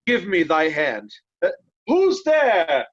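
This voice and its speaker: A woman playing a loyal nobleman who pleads earnestly and gently with an old king in an amateur Shakespeare reading. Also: on the low side, sing-song, slow pace, muffled recording, heavily acted. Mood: concerned.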